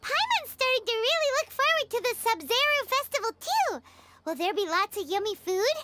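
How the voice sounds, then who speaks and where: A woman voicing an excitable, childlike fairy companion in an anime game, cheerful and exclamatory. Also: extremely high pitch, moderate pace, heavily acted. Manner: refers to self in third person, exclamatory. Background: background music.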